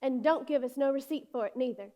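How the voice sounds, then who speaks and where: An actress playing a trusting, warm-hearted young country woman, the eldest orphaned sister, speaking in an earnest, folksy way in a stage-style drama. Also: sits high, fast talker, natural voice. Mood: defiant trust.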